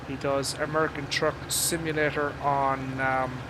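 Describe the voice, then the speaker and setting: A man with a mild Irish accent giving casual live gaming commentary in a halting, rambling, casually sweary way. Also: medium pitch, level tone, background noise. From Ireland. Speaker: game streamer.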